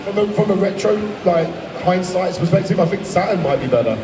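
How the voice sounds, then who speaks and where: A man's voice: high voice, lively intonation, brisk, noisy background. A man on a convention panel ribbing a rival with stumbling restarts. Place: large convention hall, live panel. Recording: echoey hall from far off, crowd.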